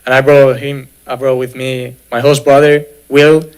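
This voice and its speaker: A man's voice: medium pitch, flat delivery, moderate pace, darkish tone, clear, resonant tone, low-quality recording. A young man from Spain, an exchange student casually introducing himself and correcting himself as he goes.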